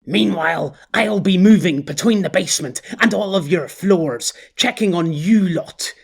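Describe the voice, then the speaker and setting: A man voicing a blustering, boastful petty male gang boss who rants and bosses his crew around, with a husky voice. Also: high voice, a little slow, voice pushed up, exaggerated voice. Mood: bossy. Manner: boastful, bossy, rough-talking.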